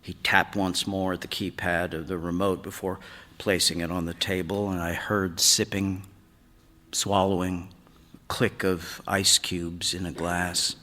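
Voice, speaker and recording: A man reading a literary story aloud on stage, in a measured, dramatic way that moves between hush and sudden swells. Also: low voice, little pitch movement. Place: large theatre stage. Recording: reverberant hall, live stage reading.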